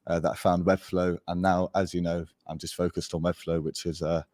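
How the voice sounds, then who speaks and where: Low-voiced young man with English accent. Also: brisk, no echo, studio quality. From born in Portugal, raised in Spain. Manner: relaxed, rambling, many fillers. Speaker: guest, agency founder. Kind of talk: casual podcast interview.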